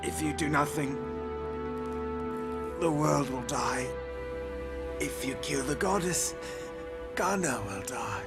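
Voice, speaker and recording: An actor playing a megalomaniac, envious video game villain with a measured, taunting, theatrical delivery. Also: male voice, a little high, animated. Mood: bitter, cold. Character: megalomaniac male villain, envious and mocking, craving to remake mankind. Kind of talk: video game cutscene acting. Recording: loud music over the voice.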